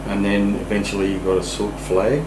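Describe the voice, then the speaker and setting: A man puts informal questions in a fairly low, monotone voice. The room is very reverberant, with clear background noise. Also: brisk.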